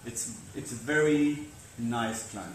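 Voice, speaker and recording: A man interviewing cruisers in a chatty, agreeable, somewhat halting way. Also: mid-range voice. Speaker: interviewer, sailing channel host. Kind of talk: casual interview questions. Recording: echoey veranda with background noise.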